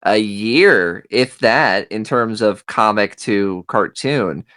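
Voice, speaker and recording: A man in a casual podcast chat, talkative and quick to laugh. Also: on the low side, pushed and bright, no echo, clean studio sound. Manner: chatty, laughs easily. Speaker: podcast co-host.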